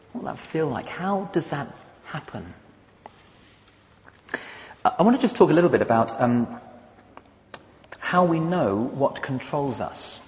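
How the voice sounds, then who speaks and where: A man preaching a sermon to his church, conversational and thoughtful, pausing to search for words. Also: mid-range voice, darkish tone. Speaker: pastor. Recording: echoey hall, dull lo-fi sound.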